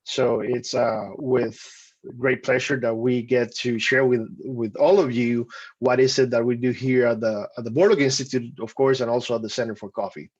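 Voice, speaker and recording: A man presenting an online webinar in an easygoing way, often pausing and restarting his sentences. His voice sits at an ordinary middle pitch. The audio comes through a video call and sounds a little dull and lo-fi. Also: level tone. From Costa Rica. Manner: conversational with frequent fillers and restarts. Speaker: webinar presenter, program coordinator.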